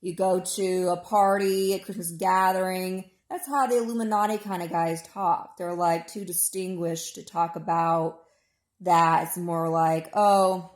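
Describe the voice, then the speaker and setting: A woman in her mid-thirties speaks in a hoarse, lowish voice. She delivers a digressive, opinionated personal monologue in an informal way. The recording is noticeably echoey. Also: fairly even intonation, a little slow. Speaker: vlogger.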